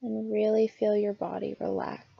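A woman narrating a scripted guided meditation in a soothing, gentle, unhurried manner. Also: mid-range voice, little pitch movement, gravelly, breathy edge, dull audio.

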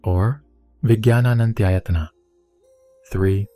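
A man giving a steady, even reading of a Buddhist scripture. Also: lowish voice, slow, no room sound. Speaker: sutra reciter. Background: soft background music.

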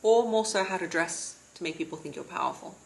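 A woman in her thirties gives relaxed advice from a sofa at home, her voice low and settled. She holds a calm, steady, near-monotone delivery, talking to a camera set a little way off. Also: fairly quick, gravelly. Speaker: vlogger and confidence coach. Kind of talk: casual advice vlog to camera. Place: living room, seated on a sofa.

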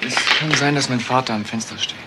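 A man's voice: mid-range voice, fast, heavy background noise, natural voice. An actor playing an eager, trusting young man, a son drawn into intrigue, in a German crime radio play. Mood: reassuring.

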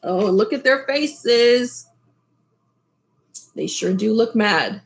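A middle-aged woman reads a children's story aloud. Her voice sits a little high and her pace is slow. She pauses for casual comments to young listeners. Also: animated. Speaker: storyteller reading a picture book aloud. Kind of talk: expressive children's read-aloud with casual asides. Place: small furnished room at home, indoors. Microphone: webcam at desk distance.

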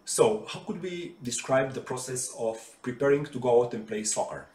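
A man's voice: mid-range voice, animated, normal speed, a little mellow, distant mic. Man explaining to kids in an echoey room. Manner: simple, patient explanations with hand gestures. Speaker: RPA developer teaching kids. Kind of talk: friendly explainer for children. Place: bright living room with sofa. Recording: roomy echo, voice from off-mic.

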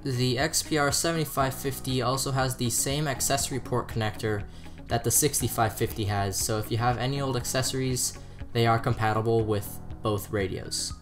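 A man's voice: mid-range voice, fairly even intonation, normal speed. A man presenting a scripted product review as narration. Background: background music.

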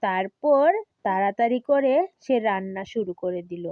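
Female cartoon narrator: medium pitch, slow storybook delivery, scripted. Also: no room sound, narrow, tinny audio.

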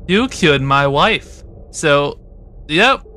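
A man's voice: on the high side, animated, takes it slowly, sharp tone, a little hiss or hum, full character voice. A man voicing a childishly melodramatic accuser from an angry crowd in a comedy game dub. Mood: dramatic, aggrieved. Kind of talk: comedy fan dub of a game, improvised dialogue.

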